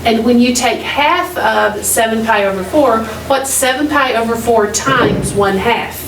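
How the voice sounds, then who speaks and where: A middle-aged woman teacher with a slightly high voice, heard from across an echoey classroom. She directs and questions her students, repeating key points over clear background noise. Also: measured pace, distant mic. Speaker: math teacher. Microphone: distant camera microphone. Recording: echoey classroom from afar, noisy.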